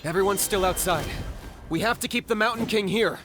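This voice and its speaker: An actor playing a cool, confident young hunter in a video game, terse and decisive. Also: male voice, high-pitched, fairly quick, background noise. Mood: focused.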